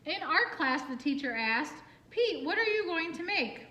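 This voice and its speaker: A woman reading a picture book aloud for children in an expressive, singsong way, with asides and questions to young listeners. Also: extremely high pitch, a little slow, a little hiss or hum. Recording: roomy, echoing room sound.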